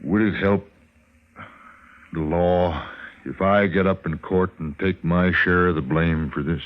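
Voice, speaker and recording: Low-voiced remorseful American frontiersman. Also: fairly even intonation. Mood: contrite. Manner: halting, contrite. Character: remorseful male frontier townsman, humbled. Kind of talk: old-time radio drama acting. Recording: dull vintage radio recording.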